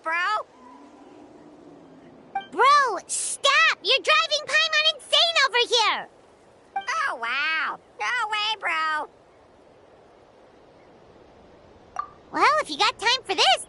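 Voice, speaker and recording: A woman voicing a tiny childlike fairy companion in a video game, cheeky, exclamatory and easily exasperated, with a squeaky voice. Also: sing-song, unhurried, very noisy, full character voice. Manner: sassy, exclamatory, slangy.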